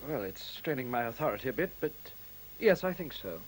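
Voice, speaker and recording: A man's voice: medium pitch, sing-song, brisk, husky, poor audio. An actor playing a sober male computer scientist, restrained and matter-of-fact, in a 1970s radio play. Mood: businesslike. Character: sober computer scientist mourning his creation. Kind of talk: 1970s radio drama acting. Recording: hissy 1970s radio recording.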